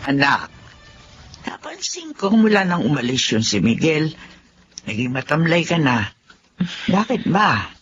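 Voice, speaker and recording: A woman acts out an older mother in a Filipino drama, concerned and ready to be indignant for her child. She is plain-spoken, with a very animated pitch. Light noise sits behind the voice. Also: unhurried, long pauses, lo-fi sound.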